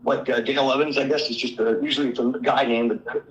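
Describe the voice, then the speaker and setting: A man calling in to a talk show, hoarse from illness, rambling through an indignant account of his run-in with the law. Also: mid-range voice, steady tone, fast talker, lo-fi sound. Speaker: caller. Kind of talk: call-in show chat.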